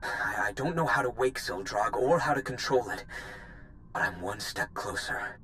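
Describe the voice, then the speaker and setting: A man's voice: medium pitch, normal speed, sharp tone, slight background noise, natural voice. A man playing an intense, vengeful conspirator in a dramatic video game cutscene. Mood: obsessed, triumphant. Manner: intense, resolute. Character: vengeful, zealous male conspirator villain.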